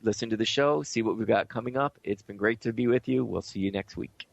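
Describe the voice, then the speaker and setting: A man's voice: fairly low pitch, fairly quick, creaky, over loud music. A man hosting a conversational spiritual talk show, speaking in an informal, earnest and reflective way. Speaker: radio show host. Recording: dull lo-fi audio.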